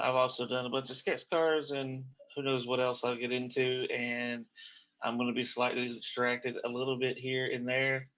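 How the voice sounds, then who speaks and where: A man's voice: medium pitch, highs cut off. A man chatting casually as a livestream panelist, laid-back and a little rambling. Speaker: comic book inker, panelist.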